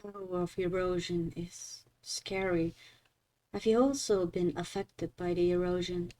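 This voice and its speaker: A woman's voice: fairly low pitch, fairly even intonation, unhurried, dark tone, natural voice, low-quality recording. A woman playing a young female traveler in a video game, asking questions in a direct, earnest way. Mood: concerned.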